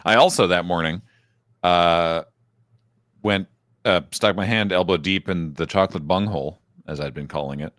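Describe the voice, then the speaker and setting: A man with a very deep, low voice talks casually on a podcast, wandering through a story with lots of restarts and fillers. The sound is dry and close to the mic. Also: moderate pace, fairly bright tone, no echo. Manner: rambling, self-interrupting storyteller. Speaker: podcast co-host. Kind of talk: casual recap chat.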